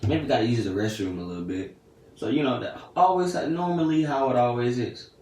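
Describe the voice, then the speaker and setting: A man's voice: medium pitch, brisk, a little mellow, roomy sound, distant mic, poor audio. A teenage boy telling a story to camera in a casual, slangy, chatty way. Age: teens. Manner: laid-back, slangy and chatty. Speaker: vlogger.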